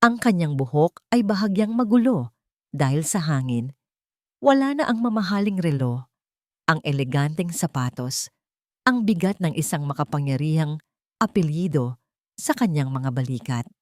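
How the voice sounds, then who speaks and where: A woman with a Filipino accent narrating a scripted romance story in measured, dramatic phrases. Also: deep voice, lively intonation, a little mellow, no echo, studio quality.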